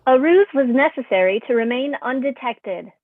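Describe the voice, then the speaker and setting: A woman's voice: high voice, lively intonation, normal speed, dry recording, phone-quality sound. A woman playing a formal, precise, literal artificial intelligence assistant in a staged play reading. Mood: formal, matter-of-fact.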